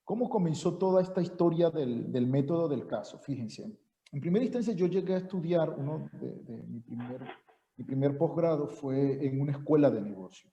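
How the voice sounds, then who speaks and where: Venezuelan man, middle-aged lecturer; very dark tone, muffled video-call sound. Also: on the high side, lively intonation. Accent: Venezuelan Spanish. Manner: measured, formal, many "eh" fillers. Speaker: university lecturer. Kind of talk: online seminar talk. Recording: dull echoey video-call audio.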